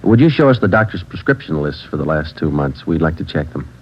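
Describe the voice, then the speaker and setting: An actor playing a terse police detective who narrates the case in a clipped, deadpan way in a 1940s radio drama. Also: male voice, rumbling low, a little fast, a little hiss or hum. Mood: flat. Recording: dull old radio broadcast.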